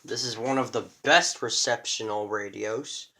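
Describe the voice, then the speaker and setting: A man's voice: fairly low pitch, measured pace, bright, forceful tone, slight background noise. A young man giving a casual show-and-tell of his radio collection, rambling, with many fillers and restarts.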